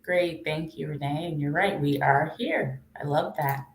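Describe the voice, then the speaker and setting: Female panel moderator, low register, roomy echoing call audio. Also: a little slow, slight background noise. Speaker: panel host.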